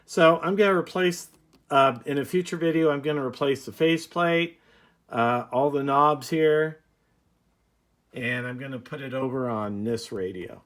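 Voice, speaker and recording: A man's voice: medium pitch, normal speed, fairly bright tone. Casual man showing off hobby gear. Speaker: radio hobbyist, video presenter. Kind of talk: casual demonstration talk.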